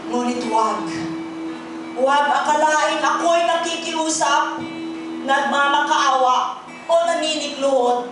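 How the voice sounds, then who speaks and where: A Filipino woman recites poetry in a high voice, solemn and theatrical. Her delivery is slow and deliberate, with weighty pauses. She sounds distant in a very echoey hall. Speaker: poetry reader at a human rights gathering. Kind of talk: dramatic, impassioned poetry recitation. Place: indoor auditorium event. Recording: very echoey auditorium, distant microphone. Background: plucked guitar accompaniment.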